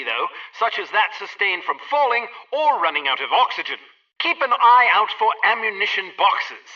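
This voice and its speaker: A prim male gadget instructor from a spy video game, heard a little far from the mic. His tone is very sharp and forceful, with an animated rise and fall. He gives helpful tutorial instructions in formal, precise phrasing. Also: high-pitched, dull audio, heavily acted. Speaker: training instructor. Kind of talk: scripted game tutorial narration.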